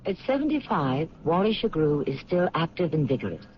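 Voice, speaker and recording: A man's voice: on the high side, sing-song, brisk. A man narrating a radio mystery in a dramatic, scripted storytelling style. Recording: muffled, noisy old radio drama recording.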